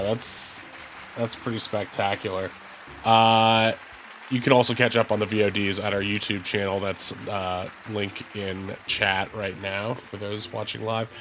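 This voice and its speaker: A man's voice: lowish voice, flat delivery, takes it slowly, fairly bright tone, slight background noise, low-quality recording. A man hosting a tabletop game stream, congested from the flu, chatting loosely with lots of fillers before opening the session. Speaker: game master. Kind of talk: casual stream chat and game intro.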